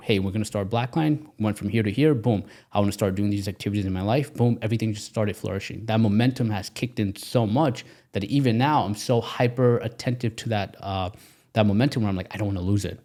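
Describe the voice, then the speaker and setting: A man's voice: on the low side, racing, warm tone. A man in his twenties from Pakistan, talking energetically and emphatically as a podcast guest, often repeating his phrases. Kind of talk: casual interview talk.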